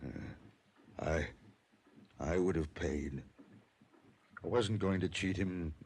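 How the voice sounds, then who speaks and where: An actor playing a weary, wounded businessman, speaking weakly and haltingly with remorse in a 1950s radio drama. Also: deep voice, darkish tone, a little hiss or hum. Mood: weak, remorseful. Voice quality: husky, weak. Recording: old-time radio recording.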